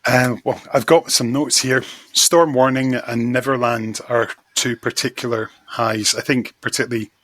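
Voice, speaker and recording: Enthusiastic man with a bright, husky voice. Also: mid-range voice, fairly even intonation, normal speed, few pauses, dry recording. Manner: enthusiastic, effusive. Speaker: podcast guest, magazine editor. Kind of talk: casual chat, fan discussion.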